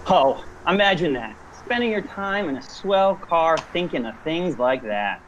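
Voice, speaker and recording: Radio play hitchhiker: man playing a blunt, colloquial drifter, very animated intonation, slight background noise. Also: a little high, unhurried, highs cut off. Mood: breezy, flirtatious. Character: brash, folksy, easygoing hitchhiker turning wary. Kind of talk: amateur radio thriller acting.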